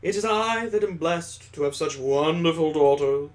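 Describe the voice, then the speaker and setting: A man's voice: on the high side, normal speed, natural voice. A man voicing an earnest adoptive father from a visual novel, who speaks in a formal, declamatory way. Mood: heartfelt.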